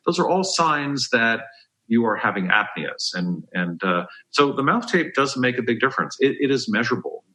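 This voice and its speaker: A man's voice: fairly low pitch, bright, forceful tone, low-quality recording. A man giving health advice as a dentist on a podcast, explaining step by step in an emphatic, conversational way.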